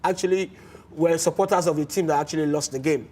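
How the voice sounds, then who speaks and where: Man, Nigerian accent, sports show host, animated, repeats words, slightly high-pitched. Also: quick pace. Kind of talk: casual studio chat with phone-in.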